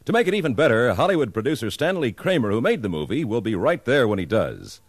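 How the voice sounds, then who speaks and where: Very lively American male radio announcer. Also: medium pitch, quick pace, breathy edge, dry recording. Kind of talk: 1950s scripted promotional announcing.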